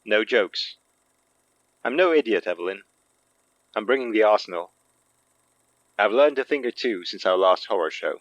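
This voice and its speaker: A man's voice: lowish voice, lively intonation, moderate pace, long pauses, dry recording, dull audio. A man acting a wry, cocky, protective occult sleuth in a naturalistic audio drama. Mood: defensive. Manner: sarcastic, cocky.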